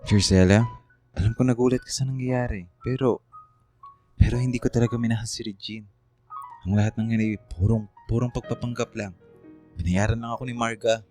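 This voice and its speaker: Man acting a mellow-voiced, pleading two-timer. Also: mid-range voice, fairly even intonation, soft background music, lightly acted. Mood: defensive. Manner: halting, earnest, repeats words. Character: pleading, lovestruck two-timing young man making excuses. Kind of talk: radio drama acting.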